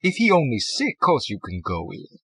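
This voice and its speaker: A man plays a middle-aged female bank clerk in an audiobook. She is pleasant and chatty, with folksy, ungrammatical speech. The voice is lifted high and swings freely, with a husky edge. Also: wildly swooping pitch, normal speed, a bit bright, dry recording, exaggerated voice. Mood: puzzled, relenting. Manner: folksy, colloquial, ungrammatical talk.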